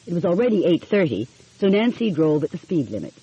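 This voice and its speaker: A woman narrating an audiobook in an expressive storytelling style. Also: lowish voice, a little fast, breathy edge. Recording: dull, lo-fi audio.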